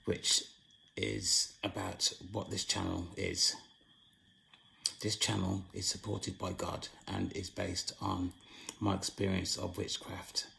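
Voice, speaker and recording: A hoarse man hosting his own channel, giving a solemn spiritual monologue in a slow, halting way with long pauses. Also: low-pitched, fairly bright tone, a little hiss or hum, rough recording. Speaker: channel host.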